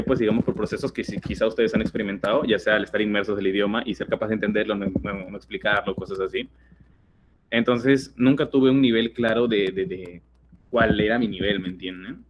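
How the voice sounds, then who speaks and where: A teenage man with a Peruvian accent explains things at length, in a low voice that often breaks into fillers and restarts. A faint hiss runs under the recording. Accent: Peruvian Spanish. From Peru. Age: teens. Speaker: guest speaker. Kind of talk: webinar talk.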